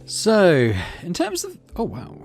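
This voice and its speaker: A man's voice: high-pitched, wildly swooping pitch, slow pace. A man hosting a gaming let's play, chatting and thinking aloud between stretches of read-out narration. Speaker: gaming video host. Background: game music.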